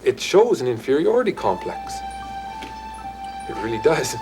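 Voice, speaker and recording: A man's voice: mid-range voice, big pitch swings, a little slow, a little mellow, faint music behind. A man giving blunt, matter-of-fact opinions in an interview.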